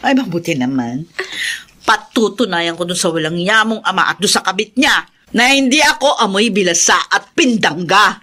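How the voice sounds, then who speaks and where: A woman's voice: medium pitch, animated, moderate pace, pushed and bright. A woman playing a bitter, drunken middle-aged mother in a Filipino radio drama, with a slurred, theatrical drawl. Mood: scornful, defiant.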